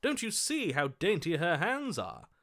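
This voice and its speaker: A man's voice: on the high side, wildly swooping pitch, a little slow, fairly bright tone, full character voice. A man voicing a lovestruck, melodramatic romantic hero in a video game, speaking in a theatrical, florid, overwrought manner. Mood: ardent, persuasive. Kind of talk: video game character voice acting.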